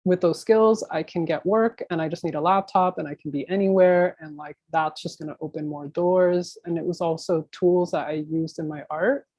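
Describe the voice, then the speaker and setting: An American woman answers interview questions in an informal, meandering way. Her voice sits a little low. The sound is very dry, with hardly any room in it, as she thinks aloud like an artist chatting about work. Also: steady tone, normal speed, hardly any pauses, darkish tone, dry recording. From Miami, United States. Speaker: interview guest, new media artist. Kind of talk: casual interview conversation.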